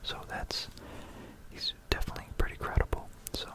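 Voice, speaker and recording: An ASMR creator whispering about history in a hushed, rambling, musing way, close into a stereo microphone. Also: fairly quick, rough recording. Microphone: close stereo binaural microphone.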